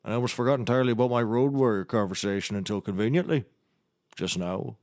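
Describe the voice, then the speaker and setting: A man's voice: medium pitch, fast, a little mellow, dry recording, clean studio sound, close to an everyday voice. An actor playing a blustering, folksy nautical handyman turned defense lawyer, orating grandly in a comedy courtroom. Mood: matter-of-fact. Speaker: defense attorney. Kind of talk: scripted comedy courtroom oratory.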